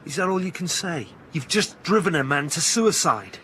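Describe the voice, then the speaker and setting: A man's voice: a little high, animated, moderate pace, pushed and bright, slight background noise. An actor playing an indignant, grieving male academic in a radio drama, accusing and agitated. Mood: angry, accusing. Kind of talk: naturalistic radio drama acting.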